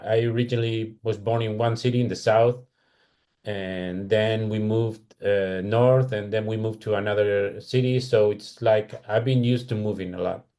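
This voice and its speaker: Guy in his 40s, spanish-speaking accent, lowish voice, candid and reflective podcast guest chatting. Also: fairly even intonation, moderate pace, warm tone. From Argentina, also California and Spain. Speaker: podcast guest, comic artist. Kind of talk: casual interview chat.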